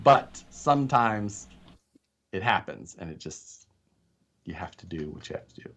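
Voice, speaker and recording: Guest, male, nasal tone, low pitch, rambling easy chat. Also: big pitch swings, measured pace, a bit bright. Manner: laughs often, rambling. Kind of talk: casual live-stream chat.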